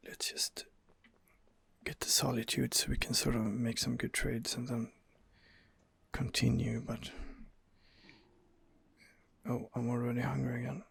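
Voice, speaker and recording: A man doing hesitant ASMR roleplay commentary over a game, rambling and thinking aloud in a low-level close recording. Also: flat delivery, unhurried, vocal fry, pauses often, breathy edge, no echo. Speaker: gaming ASMR host playing in character.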